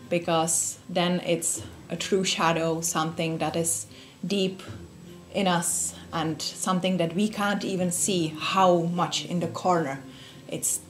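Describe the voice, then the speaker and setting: Czech-accented woman giving a friendly talk. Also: mid-range voice. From Czech Republic. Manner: friendly, many fillers and restarts. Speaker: speaker giving a workshop talk. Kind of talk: casual spoken talk to a small group. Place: room with a group of listeners.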